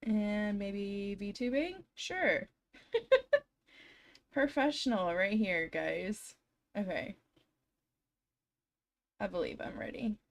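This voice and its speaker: A woman's voice: medium pitch, very animated, very slow, pauses often. A young woman streaming from home, chatting casually to her viewers with a slightly scratchy, froggy voice from being sick, giggling often. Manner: chatty, giggly, talks to chat. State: hoarse, recovering from illness. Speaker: game streamer. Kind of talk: casual live stream chat. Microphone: desk microphone.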